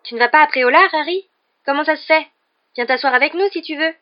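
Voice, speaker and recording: A book narrator voicing an adoring young schoolboy in an eager, excited way. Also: fast talker, dull audio, close to an everyday voice. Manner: excited, high-pitched calling.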